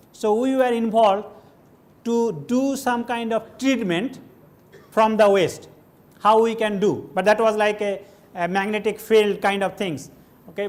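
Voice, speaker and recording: A middle-aged Indian man with a Bengali accent lectures from a stage in a large hall. His voice sits high for a man. He talks loosely and chattily, wanders off into side stories, and checks in with the room using "okay?" and "you understand?". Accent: Indian English, Bengali. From India (West Bengal). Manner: conversational, digressive, asks the audience questions. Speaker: guest lecturer. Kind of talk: classroom lecture.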